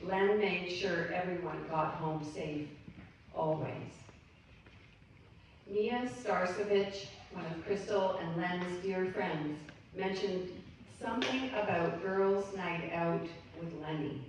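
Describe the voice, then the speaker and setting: Low-voiced woman hosting a memorial. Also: slow pace. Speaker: memorial service host. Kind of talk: introducing speakers at a memorial. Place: memorial gathering in a large room. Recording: echoey hall, distant mic, faint hum.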